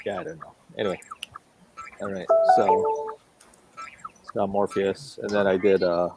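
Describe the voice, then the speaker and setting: A man in casual chat as a panelist, halting as he searches for his words. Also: deep voice, flat delivery, over loud music. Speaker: panelist on a comics drawing show.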